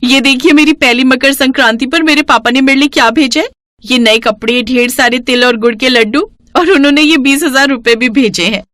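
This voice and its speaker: A woman's voice: sits high, fairly quick, a bit bright, dry recording, clean studio sound, voice pushed up. A woman voicing a haughty, boastful young daughter-in-law in a story, gloating and taunting as she talks.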